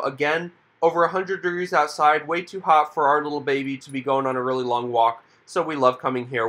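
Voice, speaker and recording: A man with a bright, forceful, fairly high voice narrates his vlog in an upbeat, chatty way, calling out to viewers as "you guys". He is recorded on a handheld phone camera while walking through an indoor mall. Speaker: vlog host.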